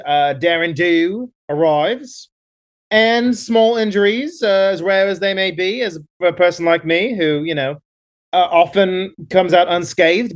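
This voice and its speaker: A man's voice: sits high, a bit bright. A man playing a boastful Australian adventurer, rambling with lots of fillers in a put-on Australian accent. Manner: bragging, rambling, many fillers. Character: boastful male Australian adventurer, self-assured. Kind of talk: improvised comedy character.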